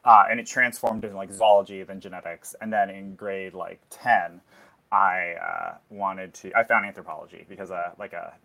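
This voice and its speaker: A man's voice: low voice, fairly even intonation, normal speed, sharp tone, rough recording. A young man in his twenties with a Canadian accent, chatting as a podcast guest, full of "like" fillers and laughter. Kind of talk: casual chat.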